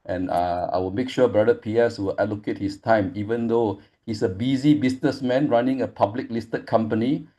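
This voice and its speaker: A man's voice: fairly low pitch, steady tone, normal speed, hardly any pauses. A man moderating an online study group over a video call, talking casually with many "you know" fillers. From Malaysia. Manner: frequent "you know" fillers, warm thanking.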